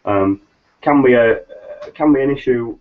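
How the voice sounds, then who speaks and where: A middle-aged man, nasal and a little hoarse from hay fever, hosting a solo business webcast in a rambling way with many ums and restarts. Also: medium pitch, a little slow. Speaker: business consultant host. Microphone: webcam mic on a video call. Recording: slightly dull lo-fi webcam audio.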